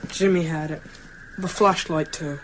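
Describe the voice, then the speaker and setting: A man's voice: fairly high pitch, fairly even intonation, a little slow, a bit bright, a little hiss or hum. A young male actor playing a frightened but brave boy vampire hunter in a radio drama. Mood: subdued.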